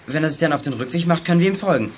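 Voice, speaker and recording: Ein Schauspieler spielt in einem Kinderhörspiel einen eifrigen, findigen Jungen, der als Detektiv sachlich Pläne macht. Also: male voice, a little high, lively intonation, very fast, slight background noise, lightly acted. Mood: eager, planning. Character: keen, resourceful teenage boy detective. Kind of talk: German children's radio play acting.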